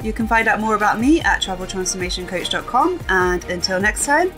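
A woman's voice: medium pitch, animated, brisk, over music. A woman hosting her own podcast, talking chattily through a trip and often laughing. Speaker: podcast host. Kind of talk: casual solo podcast monologue.

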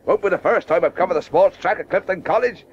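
A man's voice: sits high, fast, a little hiss or hum, lightly acted. An actor playing a blustering, domineering middle-aged cricketer father in a radio play, with clipped, emphatic phrases. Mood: jovial boasting. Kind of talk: 1980s radio drama acting. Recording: muffled old radio broadcast recording.

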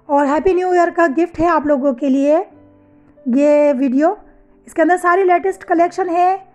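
A woman's voice: sits high, darkish tone. A woman, hosting a fashion channel in a casual, chatty voice-over that addresses her viewers as sisters and mothers. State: sore throat. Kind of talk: casual voice-over for a slideshow of products.

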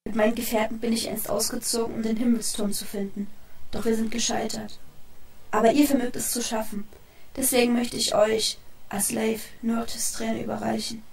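A woman's voice: a little high, little pitch movement, moderate pace, gravelly. Solemn, echoing elven mother, played by a woman. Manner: solemn, formal recitation. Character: solemn elven mother, leader of her people. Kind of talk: fantasy audio drama acting. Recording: echoey, distant, music underneath.

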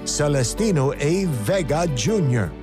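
A man reading a scripted station sign-off announcement in a measured, formal manner. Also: a little high, animated. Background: music under the voice.

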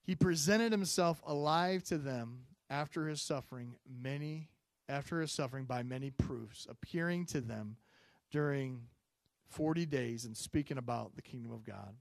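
A man in his forties preaching a Sunday sermon on a handheld microphone, chatty and full of fillers, rising loud on key points and dropping low and soft in prayer. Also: moderate pace, creaky, no echo. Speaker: pastor. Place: church stage, medium-sized room. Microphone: handheld mic.

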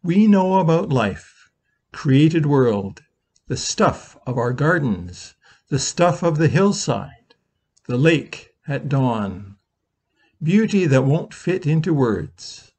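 A man in his sixties, a minister, reading a slow, reflective Easter meditation from his living room over a webcam, with long pauses. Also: a little high, big pitch swings, darkish tone, slightly rough.

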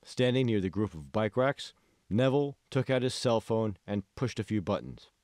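Low-voiced man narrating an audiobook. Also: animated, normal speed, darkish tone, no echo, clean studio sound. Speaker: author reading own audiobook.

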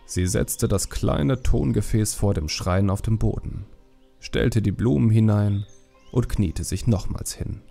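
A man reads a story as an audiobook narrator. His voice is low and creaky, measured and solemn, with a dark warm tone. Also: faint music behind.

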